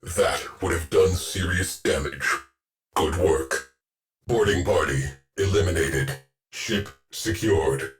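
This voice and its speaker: A man playing a gruff, sardonic shipboard AI officer in a video game, giving clipped, deadpan military orders. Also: low voice, takes it slowly. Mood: dry, brisk. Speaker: ship's AI crew officer. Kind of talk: scripted video game voice lines.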